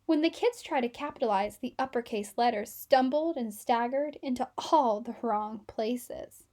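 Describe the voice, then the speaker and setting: A woman with a high voice reads a children's story aloud. Her narration is expressive and scripted, shaped for young listeners. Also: normal speed.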